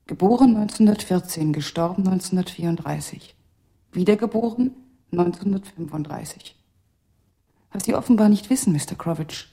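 An actress in a radio play voicing a sorrowful, resolute female puppet in a measured, solemn and breathy way, often near a whisper. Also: lowish voice, mellow, close to an everyday voice. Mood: solemn, insistent. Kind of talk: German radio play acting.

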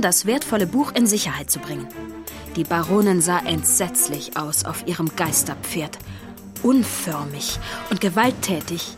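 A woman's voice: medium pitch, close to an everyday voice. A woman narrating a children's audio drama as a scripted storyteller.

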